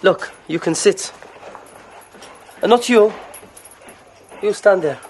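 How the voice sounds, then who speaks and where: High-voiced, genially menacing slave merchant. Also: male voice, slow pace, a little mellow, a little hiss or hum. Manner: casual, coaxing politeness laced with threat. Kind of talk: audio drama acting.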